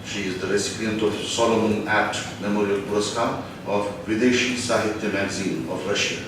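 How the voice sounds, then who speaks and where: Male host, formal introductions, lowish voice, distant in a very echoey hall with clear noise. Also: steady tone, brisk. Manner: formal, reading a list. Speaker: event host. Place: conference hall. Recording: echoey hall, noisy, far mic.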